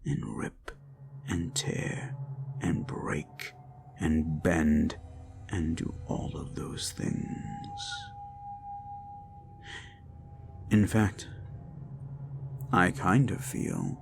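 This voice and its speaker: A man playing a hulking sadistic slasher killer in a horror roleplay audio, gloating and menacing, savouring each pause and dropping into whispers. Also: sits low, wildly swooping pitch, gravelly, over music, exaggerated voice. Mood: threatening menace.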